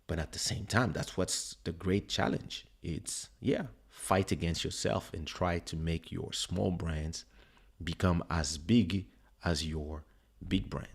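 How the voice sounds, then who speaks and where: Give me a French-accented man with a low voice, chatting casually on his livestream at a slow pace. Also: gravelly, breathy edge. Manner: relaxed, rambling with fillers and restarts. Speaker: musician hosting a livestream listening party. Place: home streaming setup. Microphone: studio desk microphone.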